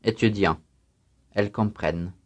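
Lowish male voice answering language drills, careful full sentences. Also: measured pace. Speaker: student voice and exercise announcer. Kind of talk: scripted language drill responses.

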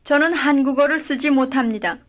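High-voiced woman on an old drill tape. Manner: measured, careful full-sentence repetitions. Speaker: model speaker in a language drill. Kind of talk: recorded language-lesson drill responses. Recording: dull lo-fi old lesson tape.

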